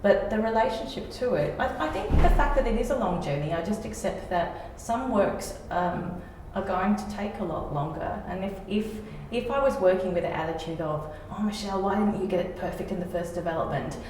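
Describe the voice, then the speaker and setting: A woman's voice: mid-range voice, expressive, fairly quick, few pauses, darkish tone. A woman, a playwright being interviewed, talking reflectively and informally about her work. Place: reverberant indoor room. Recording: very echoey room, distant mic, faint noise.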